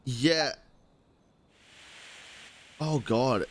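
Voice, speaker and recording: A man's voice: mid-range voice, drawn-out words. A young man who streams games, reacting casually and live with exclamations and swearing. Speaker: game streamer. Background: video game music.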